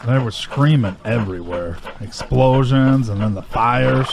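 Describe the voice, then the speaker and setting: A man's voice: medium pitch, measured pace, creaky, noisy background. A man playing a weary, shaken disaster survivor in an audio drama. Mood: haunted. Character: weary, shaken male disaster survivor reuniting with his love.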